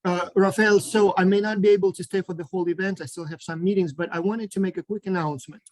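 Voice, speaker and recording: A man's voice: sits high, fairly even intonation, fast talker, no room sound. A man from Ukraine chatting casually on a video call, hesitant with many ums and restarts. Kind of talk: casual online group chat.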